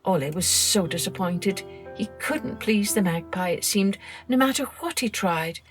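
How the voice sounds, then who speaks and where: Expressive woman narrating a children's story. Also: medium pitch, a little slow, music in the background. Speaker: children's storytelling podcast host.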